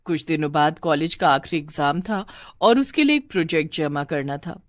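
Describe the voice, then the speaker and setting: A woman's voice: low-pitched, lively intonation, moderate pace, no echo, studio quality. A woman reading a scripted moral bedtime story as a storyteller.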